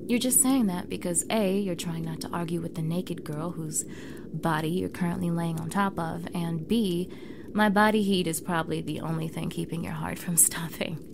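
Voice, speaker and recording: A young woman acting a standoffish, awkward mean girl who is softening, in an intimate ASMR roleplay, halting and flustered, with soft breathy delivery. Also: lowish voice, background noise. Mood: guarded, vulnerable. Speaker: audio roleplay voice actor.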